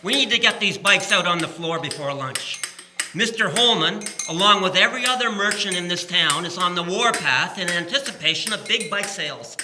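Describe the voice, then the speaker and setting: Radio play part: opinionated 1890s shop clerk, acted by a man, high, emphatic delivery, faint clinking props behind. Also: animated, normal speed, background noise. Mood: urgent. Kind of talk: community radio drama acting in early live radio manner.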